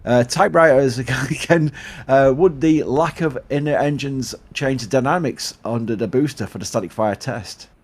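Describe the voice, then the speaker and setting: A chatty man with a Yorkshire accent hosts a live stream, reading out viewer questions and thanking supporters in a relaxed, rambling way. His voice sits at an average pitch. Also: normal speed. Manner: chatty, laughs often, thanks supporters, restarts a lot. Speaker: livestream host. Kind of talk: casual live commentary.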